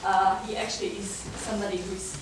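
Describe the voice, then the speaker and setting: A woman's voice: mid-range voice, level tone, brisk. A woman with a German accent giving an academic talk to students, often hesitating and restarting her sentences. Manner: hesitant, many fillers and restarts. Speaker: guest lecturer. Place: large lecture room. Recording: echoey lecture hall from far off, background noise.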